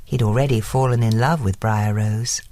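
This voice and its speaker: A woman narrating a scripted children's bedtime story in an expressive storytelling manner. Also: extremely low pitch, moderate pace, no room sound.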